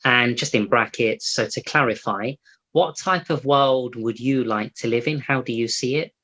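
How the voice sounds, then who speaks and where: A man's voice: medium pitch, normal speed, bright, forceful tone. A man hosting a live interview over a video call, talking loosely and restarting his sentences as he goes. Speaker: host.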